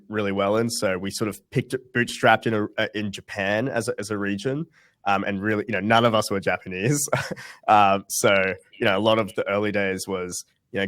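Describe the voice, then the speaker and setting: A man's voice: lowish voice, fairly bright tone, dry recording, clean studio sound. A young man in a webinar interview, talking casually with lots of ums and restarts. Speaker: guest founder.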